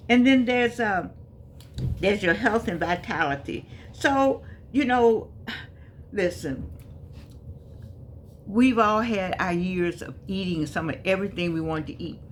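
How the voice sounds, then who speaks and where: A woman's voice: medium pitch, slow, pauses often, slight background noise. A woman in her eighties giving a casual motivational talk to her viewers, folksy and emphatic, often repeating herself. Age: 80s. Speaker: vlogger.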